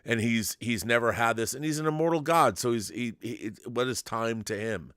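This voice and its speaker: A man hosting a casual film discussion, talking in a halting way with repeated and rephrased starts. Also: mid-range voice, fairly bright tone, dry recording, clean studio sound.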